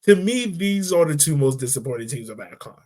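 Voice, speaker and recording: A man hosting a casual football podcast, talking in a relaxed, chatty way and laughing easily. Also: fairly high pitch, brisk. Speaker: podcast host. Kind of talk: casual sports chat.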